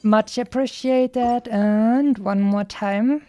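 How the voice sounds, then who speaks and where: A woman's voice: a little high, steady tone, very slow, dark tone, soft background music. Casual woman rambling through game commentary. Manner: rambling, thinking aloud to herself. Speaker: streamer. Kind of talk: casual chatty gameplay livestream commentary.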